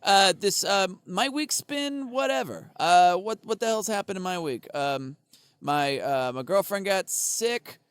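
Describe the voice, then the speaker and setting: This man has a fairly high voice and wanders through his stories in a loose, profane way, laughing often as he goes. He sounds dry and close to the mic. He is co-hosting a casual comedy podcast. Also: expressive, measured pace, clean tone, dry recording.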